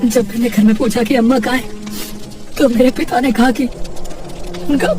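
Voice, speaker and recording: Cartoon grandson, young, high-pitched and intense played by a woman, repeating himself emphatically; distant, music underneath. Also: steady tone, natural voice. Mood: grieving, bitter. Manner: emphatic, halting, repeats phrases. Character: grieving, furious young grandson confronting his cruel father. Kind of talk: dramatic cartoon voice acting.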